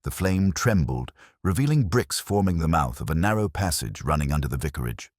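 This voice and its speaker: Very deep, husky male story narrator. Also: sing-song, brisk, a little mellow, no room sound, studio quality. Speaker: audiobook-style narrator. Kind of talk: scripted first-person mystery story narration.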